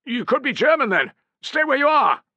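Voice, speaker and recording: Man doing a nervous young sentry, high shaky voice, hesitant, jittery. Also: sing-song, normal speed, gravelly, fairly bright tone, breathy edge, no echo, lo-fi sound, full character voice. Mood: jumpy.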